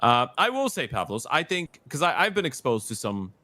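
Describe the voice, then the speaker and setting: A man casting an esports tournament, filling a delay with relaxed, easygoing chat. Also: mid-range voice, brisk. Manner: relaxed, easygoing, lots of fillers. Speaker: esports caster. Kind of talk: filling airtime with casual chat.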